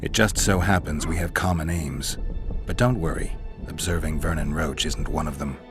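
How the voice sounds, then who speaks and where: An actor playing a stoic, dry-witted mercenary monster hunter in a video game, terse and deadpan. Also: male voice, extremely low pitch, moderate pace. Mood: calm, dry. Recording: game music behind the voice.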